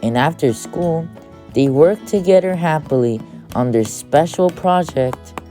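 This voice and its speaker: A woman with a low voice reads a children's storybook at an unhurried, slow pace. She narrates as an audiobook reader, and music plays behind her. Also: expressive, dark tone.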